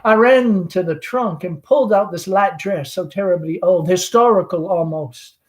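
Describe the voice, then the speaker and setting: Man putting on a chatty, high-pitched Southern belle mother. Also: moderate pace, darkish tone, close to an everyday voice. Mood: flustered, chatty. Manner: gushing, rambling, theatrical. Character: chatty middle-aged Southern belle mother, genteel and fretful.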